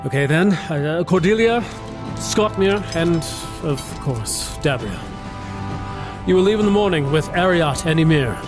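A man's voice: on the high side, lively intonation, unhurried, music in the background. A man playing an earnest leader in a fantasy audio drama, deliberating with some hesitation. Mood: earnest, decisive. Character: earnest male leader organising a war council.

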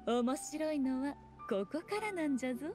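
Game role: sly, regal fox shrine maiden, high voice, archaic and teasing. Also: female voice, lively intonation, darkish tone, soft background music. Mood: playful, teasing. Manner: archaic lofty speech, amused and unhurried. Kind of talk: scripted video game voice acting.

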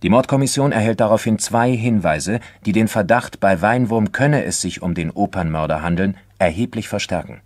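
A man narrating a crime documentary drama from a script in a formal, measured manner. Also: lowish voice, expressive, a little mellow, dry recording.

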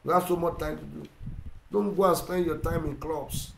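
A middle-aged man with a Ugandan English accent preaching a sermon, emphatic and repetitive, swinging between loud and quiet. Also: a little high, steady tone, normal speed, vocal fry, echoey room, background noise. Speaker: pastor. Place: indoor room with plain wall. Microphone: seated facing camera.

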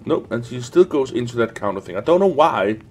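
A man's voice: medium pitch, expressive, a little fast, darkish tone. Sniffly young man commentating a game. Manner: rambling, sweary. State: sniffly from the end of a cold. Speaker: gaming video host. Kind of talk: casual let's play commentary. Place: indoors at a desk, webcam view. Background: faint game music.

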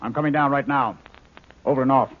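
An actor in a 1950s radio drama playing Doctor Bronson, a male scientist in charge, speaking in crisp, commanding tones. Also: mid-range voice, a little fast, faint music behind, lightly acted. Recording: tinny old radio broadcast with hiss.

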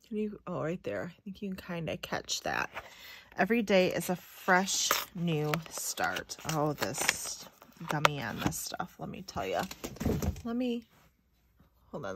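Woman, lowish voice, unhurried pace, slightly stuffy nose, informal unboxing chat, noisy background. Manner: chatty, informal, thinking aloud. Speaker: craft video host. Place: indoors at a craft table.

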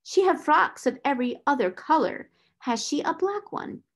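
A woman's voice: medium pitch, lively intonation, moderate pace, close to an everyday voice. A woman voicing a cold, furious, domineering older schoolmistress with a fierce, biting delivery in a storybook reading. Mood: fierce, bitterly ironic.

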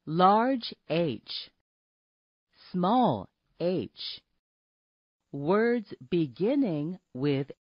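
A woman with a fairly low voice narrates a phonics lesson for little children. She places each word separately and very slowly, with animated intonation. The recording is dry and a little muffled. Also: breathy edge, no echo. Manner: deliberate, separated words and short phrases. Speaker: narrator of a children's alphabet app.

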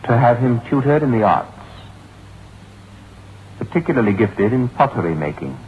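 An actor playing a grave lawyer recounting a grim account in a formal, portentous way, in old-time radio drama style. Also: male voice, mid-range voice, very animated, normal speed, lightly acted. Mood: solemn, ominous. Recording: dull, hissy vintage radio recording.

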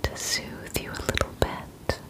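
Woman acting as a kindly young witch, ASMR roleplay, breathy whisper, slow pace, soothing, close-miked. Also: natural voice. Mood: soothing, reassuring. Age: 20s. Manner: slow, gentle, reassuring. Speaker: ASMR performer. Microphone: close microphone.

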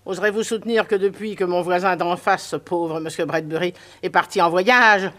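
A woman's voice: lowish voice, fairly even intonation, moderate pace, a bit bright, lightly acted. A woman playing a prudish, scolding old spinster neighbor in a French radio drama. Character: prim nosy old spinster, moralizing neighbor.

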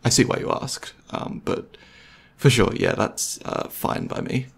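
ASMR roleplay boyfriend, male actor: mid-pitched, gravelly, goofy, caring, hesitant, intimate casual delivery. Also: unhurried, heavily acted. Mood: earnest, hesitant. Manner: hesitant, rambling, playful. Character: goofy, caring, slightly nervous boyfriend on a movie date.

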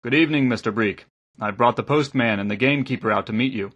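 A man acts a village baker in a storybook, a devout believer who talks with quiet enthusiasm. The voice is husky and of middling pitch. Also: quick pace, lo-fi sound. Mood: quietly enthusiastic. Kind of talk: audiobook character acting.

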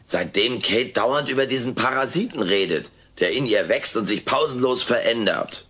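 An actor playing a wisecracking, self-centred furry alien in a sitcom audio play, sardonic and cheeky. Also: male voice, medium pitch, animated, normal speed, pushed and bright. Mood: sarcastic. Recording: noisy old cassette audio.

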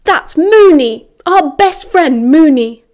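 A woman's voice: sits high, moderate pace, darkish tone, close to an everyday voice. A woman voicing an indignant teenage schoolboy in a story, bluntly scolding his best friend with British slang. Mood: outraged. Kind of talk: dramatised story reading.

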